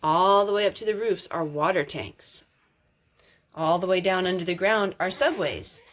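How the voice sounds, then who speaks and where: A woman reading a picture book aloud as a storytime host, expressive, drawing out words for emphasis. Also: on the low side, moderate pace. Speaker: storytime reader.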